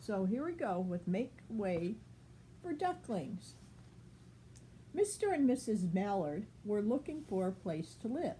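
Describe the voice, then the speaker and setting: A woman's voice: mid-range voice, big pitch swings, a little slow, mellow, slight background noise. An older woman reading a picture book aloud as a grandmother, explaining things simply for a child with casual asides.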